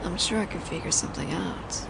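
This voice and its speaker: A woman's voice: lowish voice, a little fast, vocal fry. A woman playing a flirtatious, teasing mafia boss in an audio roleplay, talking in a playful, conversational storytelling way. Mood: flirty, coy. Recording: noisy outdoor city ambience, traffic.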